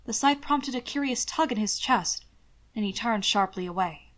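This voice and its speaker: A woman's voice: medium pitch, animated, moderate pace, a bit bright. A woman reading scripted fiction as an audiobook narrator.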